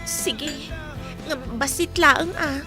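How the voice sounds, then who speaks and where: A woman's voice: high-pitched, extremely slow, over music. Diyosa, a shy young woman. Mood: reluctant. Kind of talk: radio drama acting.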